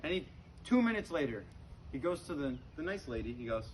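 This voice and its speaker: A man tells stories as a stand-up comedian in a conversational way. His voice sits a little high for a man. The live recording is clearly noisy, with audience laughter behind him. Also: big pitch swings, a little fast, darkish tone. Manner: conversational, frequent "you know", acts out dialogue.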